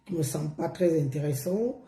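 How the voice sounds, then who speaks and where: A hoarse woman chatting on a live stream, repeating herself and coaxing viewers along with drawn-out words. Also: sits low, animated, dark tone, reverberant. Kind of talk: casual live stream chat.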